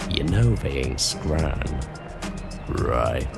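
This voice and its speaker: A man voicing a gruff, brutish male pig-man who runs an arena, talking in coarse, mocking slang. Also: rumbling low, animated, extremely slow, full character voice. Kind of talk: audio drama roleplay. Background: background music.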